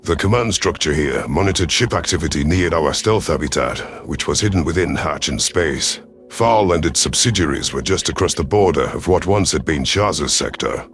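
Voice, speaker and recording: A man reading a dramatic science fiction story as a scripted narration, in a measured, serious manner. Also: very deep voice, expressive. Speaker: audiobook narrator. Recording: music behind the voice.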